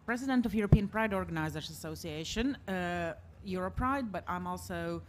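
A woman's voice: fairly low pitch, moderate pace. A woman from Latvia moderating a live panel discussion, speaking with frequent hesitations and filler sounds. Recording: live event recording, faint background noise.

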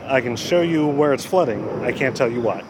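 A man's voice: mid-range voice, expressive, brisk, background noise. A male park official answering an interviewer outdoors, hesitant and evasive, with many fillers. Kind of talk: field interview. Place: outdoors in a flooded park, traffic nearby.

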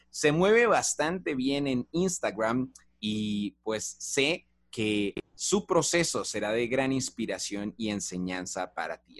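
A man hosting an interview podcast, greeting listeners warmly and asking questions with small fillers. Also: mid-range voice, dry recording. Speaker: podcast host.

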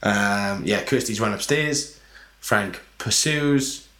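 A man with a cold-roughened voice, co-hosting a casual podcast and retelling a film's plot with swearing and acted-out lines. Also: fairly low pitch, slow, sharp tone, roomy sound. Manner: loose retelling, sweary, acts out bits of dialogue. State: hoarse from a cold. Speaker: podcast co-host. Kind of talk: casual film recap chat.

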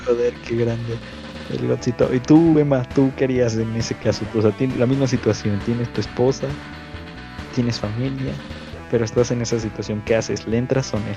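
A man's voice: medium pitch, steady tone, normal speed, gravelly, music in the background. A young man with a Mexican Spanish accent, hosting a casual podcast and putting questions to the group. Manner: steering, asks the questions. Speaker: podcast host.

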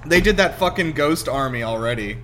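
A man's voice: mid-range voice, animated, fairly quick. A man giving casual, slangy joking commentary as a movie reaction host. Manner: slangy, wisecracking.

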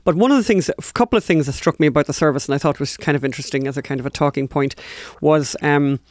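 A man's voice: on the high side, fast talker, dry recording. A man talking casually as a podcast co-host, chatty and emphatic, often repeating phrases for stress. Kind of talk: casual conversational talk.

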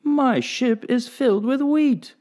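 Man doing a storybook sea captain, earnest, declaiming, raised high and loud. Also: sing-song, measured pace. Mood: proud. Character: earnest grown sea captain, proud and joyous.

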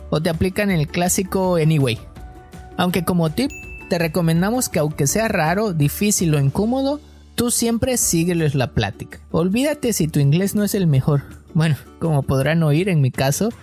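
A man with a Mexican coastal accent co-hosting a podcast, chatting casually and telling stories in a relaxed, slangy way. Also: fairly high pitch, normal speed, soft background music. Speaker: podcast co-host.

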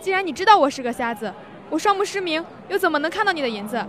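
A woman's voice: very high voice, expressive, racing, a bit bright. A woman playing a feisty young blind girl in an audio drama, theatrically indignant and playing the victim. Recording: street crowd noise behind.

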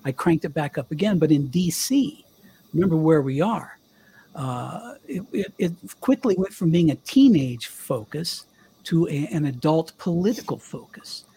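An older man, a former radio DJ, telling stories in a chatty, animated way with a young-sounding voice. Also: on the high side, measured pace, breathy edge, rough recording. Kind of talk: casual storytelling interview.

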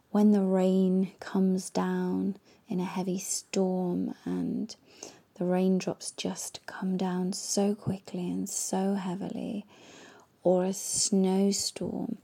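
Slow, soft-whispering meditation woman. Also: mid-range voice, creaky. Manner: gentle, slow and soothing instructions. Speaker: meditation guide. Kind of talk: guided meditation.

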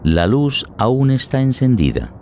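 A man's voice: medium pitch, sing-song, a little slow, creaky, a little mellow, slight background noise. A man reading dramatic scripted narration for a radio drama. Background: music.

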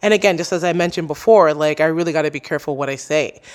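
A woman's voice: low voice, quick pace, a little mellow. A young adult woman, a podcast host being interviewed, talking casually and openly with lots of "like" and "you know.". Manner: chatty, many "like" and "you know" fillers.

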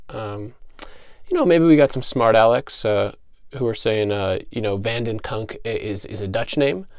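A man with a Midwestern American accent hosting a solo comedy podcast, speaking in a halting, stammering, mock-earnest and self-important deadpan. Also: fairly low pitch, clear, resonant tone, no echo. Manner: halting, stammering delivery with repeated words. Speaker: podcast host.

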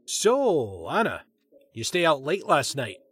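A man a cocky, flirtatious political staffer in a comedy drama. His delivery is lively and excitable, jumping up and down in pitch as he gets indignant. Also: slow, husky, exaggerated voice. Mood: wry, glib. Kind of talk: fast comedy audio drama dialogue.

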